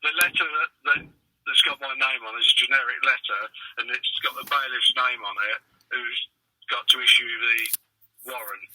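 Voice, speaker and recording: Sharp, husky British man on the phone. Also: medium pitch, fairly even intonation, normal speed, low-quality recording. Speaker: bailiff on a phone call. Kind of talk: casual phone conversation.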